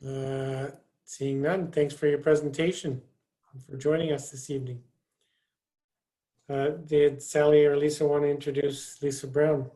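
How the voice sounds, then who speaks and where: A woman's voice: deep voice, level tone, a little slow, mellow. A woman chairing an online meeting, brief and procedural. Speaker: task force chair. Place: video call.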